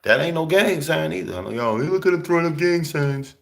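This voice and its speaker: A young man talking in a casual, slangy preaching style, emphatic and often jumping high for emphasis. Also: lively intonation, a little fast, gravelly. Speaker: host.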